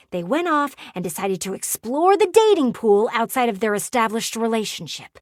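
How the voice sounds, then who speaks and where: A nosy, dramatic female house window from a video game. She shares gossip in a conspiratorial, sassy tone, with lively swings of pitch. The sound is dry and close. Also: no room sound. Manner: conspiratorial, theatrical storytelling with teasing asides.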